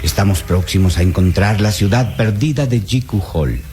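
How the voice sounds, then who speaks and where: Radio drama recording with faint noise behind the voice. A man plays an elderly anthropology professor with a low, mellow voice. He speaks formally, like a contented scholar. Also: moderate pace, natural voice. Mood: pleased.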